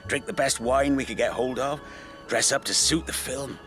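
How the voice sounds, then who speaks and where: An actor playing a rueful rural man in a naturalistic radio drama, telling his memories in a halting, conversational way. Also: mid-range voice, steady tone, music in the background, close to an everyday voice. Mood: nostalgic, halting. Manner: halting, reflective, conversational storytelling. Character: rueful rural man recalling an old friend's tragedy.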